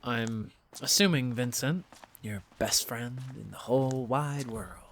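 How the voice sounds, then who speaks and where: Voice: mid-range voice, unhurried, lightly acted. An actor playing a sarcastic, fed-up young student in an audio drama, blunt and sweary. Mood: guarded, fed up.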